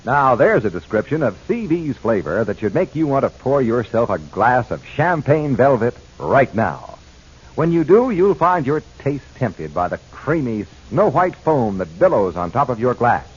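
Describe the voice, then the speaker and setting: Male radio announcer, 1940s style, scripted and emphatic, animated intonation, dull old recording. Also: mid-range voice, normal speed, slightly rough. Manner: polished, emphatic announcer delivery.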